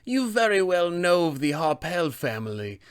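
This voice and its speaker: A man's voice: a little high, very animated, normal speed, clear, resonant tone, voice pushed up. A man voicing a proud female wizard from a famous family, formal and self-important, for a tabletop game. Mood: proud introduction. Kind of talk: tabletop game character acting.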